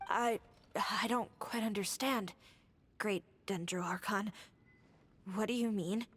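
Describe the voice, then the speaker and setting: A woman voicing a grieving young woman who speaks in a hesitant, halting way. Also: medium pitch, fairly bright tone, a little hiss or hum. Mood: confused.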